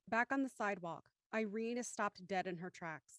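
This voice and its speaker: A woman's voice: medium pitch, moderate pace, fairly bright tone, no echo. Dry-recorded woman narrating an audio thriller. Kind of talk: scripted audio drama narration, reading stage directions.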